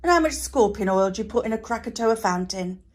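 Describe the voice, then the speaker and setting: A man's voice: sits high, lively intonation, a little fast. A woman voicing an exasperated, protective father who scolds and blusters in a storybook reading. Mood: scoffing, challenging.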